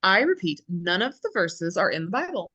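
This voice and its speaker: A woman's voice: lowish voice, big pitch swings, bright, forceful tone, dull audio, heavily acted. A woman reading the part of a firm, teasing game show host at a script table read, with authoritative delivery. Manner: deliberate, authoritative.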